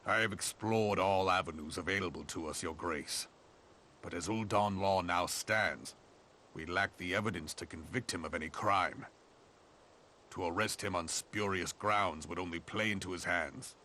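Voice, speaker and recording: A man playing a steadfast, loyal advisor to a queen in a fantasy game, measured and deferential, in formal archaic speech. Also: low-pitched, pushed and bright, a little hiss or hum. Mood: grave, steady. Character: steadfast, loyal male advisor and commander serving his queen.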